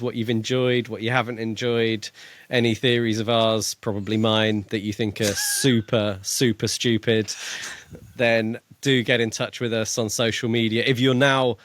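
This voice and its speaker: A man's voice: fairly low pitch, a bit bright, clean tone, dry recording. A man co-hosting a book podcast in a casual, self-deprecating and slightly hesitant way. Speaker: podcast co-host.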